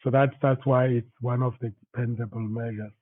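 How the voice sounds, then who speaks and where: Male trainer, mid-pitched and a bit rough, halting informal delivery, narrow telephone-grade audio. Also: steady tone, dry recording. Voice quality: slightly rough voice from recent flu. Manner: halting, repeats words. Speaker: instructor leading an online training session. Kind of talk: informal lecture with questions to participants. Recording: thin phone-like call audio.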